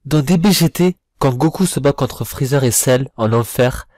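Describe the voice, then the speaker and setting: A man's voice: medium pitch, moderate pace, a little mellow, no room sound, clean studio sound. A man reviewing in a voice-over, giving informal critical commentary in a blunt, slangy, complaining manner. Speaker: video reviewer. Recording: voice-over with music behind.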